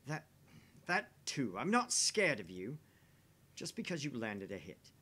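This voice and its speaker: Guy doing a grumbly defensive game character, raspy and sharp, indignant, melodramatic. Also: mid-range voice, big pitch swings, a little slow, full character voice. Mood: indignant bluster. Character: blustering, touchy adult man, defensive and grumbling. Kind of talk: acted visual novel dialogue.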